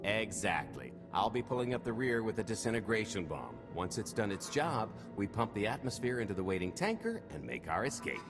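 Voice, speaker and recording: A swaggering video game smuggler with a lowish voice that moves up and down in lively swings. He is glib and wry, selling every scheme with cocky ease, over a clear bed of music. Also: brisk, hardly any pauses. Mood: confident. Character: cocky roguish male smuggler pitching a heist. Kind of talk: cinematic video game voice acting. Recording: music behind.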